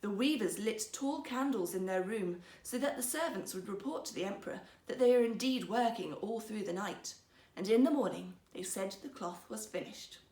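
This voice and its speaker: A woman storyteller narrating a fairy tale in an expressive, theatrical, carefully phrased way. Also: mid-range voice, moderate pace, reverberant.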